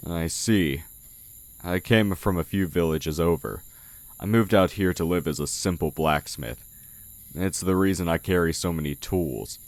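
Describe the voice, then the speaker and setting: A man playing a sly oni who poses as a humble village blacksmith in an audio roleplay, talking in a measured, condescending way. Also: low voice, dry recording. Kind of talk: audio roleplay, intimate character acting. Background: night forest ambience with crickets.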